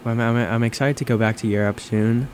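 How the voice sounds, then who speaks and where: A man's voice: mid-range voice, fairly even intonation, fast talker, a little mellow. A young man in casual conversation, leading the chat and asking questions. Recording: noisy room with air-conditioning hum.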